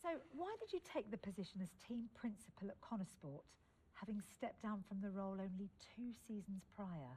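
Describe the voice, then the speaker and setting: A husky, mellow interviewer. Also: female voice, medium pitch, animated, brisk. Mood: probing. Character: probing female documentary interviewer, composed and direct.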